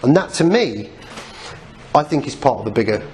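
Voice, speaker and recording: Male lecturer, mid-pitched, informal and opinionated, live recording with some background noise. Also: very animated, normal speed, a little mellow. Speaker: presenter giving a lecture.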